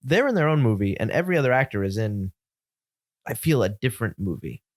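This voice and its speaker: A man co-hosting a film podcast in casual chat, relaxed and opinionated with quick asides. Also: medium pitch, dry recording, clean studio sound. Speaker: podcast co-host.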